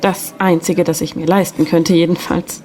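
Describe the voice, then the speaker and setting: A woman playing a friendly, gently teasing secretary in an audio drama. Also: fairly low pitch, little pitch movement, moderate pace, close to an everyday voice. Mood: friendly, modest. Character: friendly, gently teasing young female secretary, slightly mysterious. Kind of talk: German audio drama dialogue.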